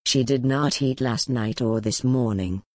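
Blunt male retainer, grim and low, dry close sound, voiced by a woman narrator. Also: unhurried, darkish tone, dry recording.

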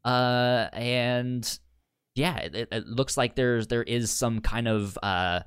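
A man's voice: medium pitch, takes it slowly, fairly bright tone, clear, resonant tone, dry recording, clean studio sound. A man co-hosting a podcast in casual, enthusiastic, nerdy chat, often stuttering and repeating sounds on words. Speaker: podcast co-host.

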